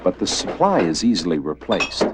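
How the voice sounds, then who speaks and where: Deep-voiced male narrator, gravely measured. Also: sing-song, heavy background noise. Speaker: narrator of an educational film. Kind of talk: scripted documentary narration. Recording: old VHS educational film soundtrack with music.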